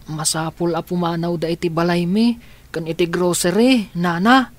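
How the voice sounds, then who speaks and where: A woman's voice: on the low side, steady tone, normal speed, no room sound, natural voice. A woman playing an anxious younger relative seeking help in a radio drama, worried and questioning.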